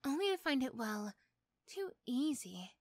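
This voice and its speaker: A woman's voice: sits high, sing-song, measured pace, dry recording, heavily acted. A woman playing a mean but grudgingly sympathetic supervillain in an ASMR roleplay, with a dry, teasing, condescending manner. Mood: dry, skeptical. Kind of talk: ASMR roleplay character acting.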